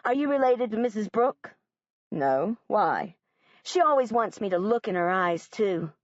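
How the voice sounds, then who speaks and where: A woman's voice: medium pitch, sing-song, a little slow, no room sound, highs cut off. A woman voicing an earnest, literal-minded young girl who speaks bluntly and matter-of-factly.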